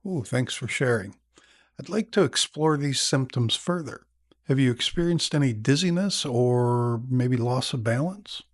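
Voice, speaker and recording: A man acts out a male neurologist in a practice consultation. His mid-range voice is calm and attentive, explaining things in measured phrases with small hesitations. The sound is dry, with no room to it. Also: mid-range voice, no room sound. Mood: attentive, sympathetic. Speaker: narrator and actor in a listening exam practice. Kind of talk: acted medical consultation for exam practice.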